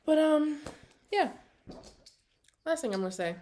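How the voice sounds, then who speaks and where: Female, early 20s, American. Slightly high voice. Casual vlog storyteller, animated, sassy and full of slang. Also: a little slow. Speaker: vlogger. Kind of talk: casual, chatty personal vlog.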